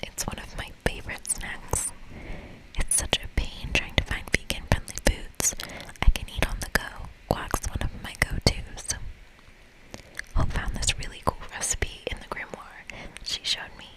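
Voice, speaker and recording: A woman voicing a friendly young vegan witch from a dating game in a soft, chatty, breathy whisper. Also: a little slow, natural voice, poor audio.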